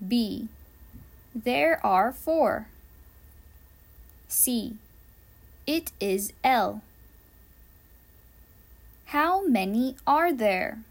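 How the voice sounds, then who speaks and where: A woman teacher reads out a learners' quiz, pausing between each answer choice. Her delivery is very slow and deliberate, each word carefully enunciated. Her voice sits a little high. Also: lively intonation, vocal fry. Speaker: English teacher.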